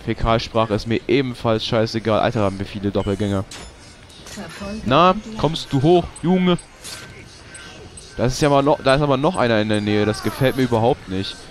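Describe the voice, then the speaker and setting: A man's voice: mid-range voice, normal speed, soft background music. A young man, giving casual gameplay commentary, swearing and ranting when he loses. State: has a cold. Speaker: let's play host.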